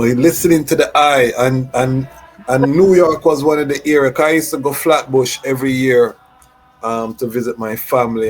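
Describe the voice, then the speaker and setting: Casting: man from Jamaica raised in Toronto, interviewer, relaxed chatty delivery, mid pitch. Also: poor audio. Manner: relaxed, repeats "you know what I mean". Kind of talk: casual interview chat.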